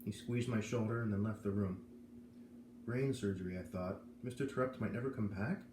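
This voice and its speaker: A man's voice: lowish voice, steady tone, normal speed. A man narrating a children's novel aloud as a read-aloud host. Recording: roomy echo, faint background noise.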